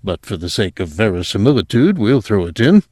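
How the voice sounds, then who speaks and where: A man's voice: fairly low pitch, very animated, a little fast, darkish tone, no echo, studio quality. A man voicing a fussy, self-satisfied technician who explains things pedantically in an audiobook. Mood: wry, explanatory. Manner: pedantic, explanatory, hesitant throat-clearing. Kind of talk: audiobook character voice.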